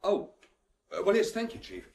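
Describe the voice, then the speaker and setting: An actor playing an eager, deferential young police assistant in a radio drama, earnest and a little hesitant. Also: male voice, high-pitched, moderate pace, creaky, darkish tone. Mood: flustered. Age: young adult.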